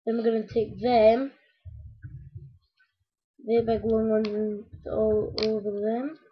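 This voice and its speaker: A woman with a croaky voice, rambling casually to her viewers as she builds a toy step by step. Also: a little high, flat delivery, slow. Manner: rambling, talks to viewers while working with hands. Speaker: video maker building a toy. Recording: dull lo-fi home recording.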